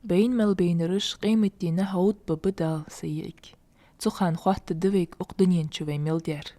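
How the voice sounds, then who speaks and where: A woman voicing a kindly, patient grandmother in a cartoon dub, speaking in a measured, instructive way. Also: lowish voice, fairly even intonation, dark tone, natural voice. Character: kindly, patient grandmother who teaches children.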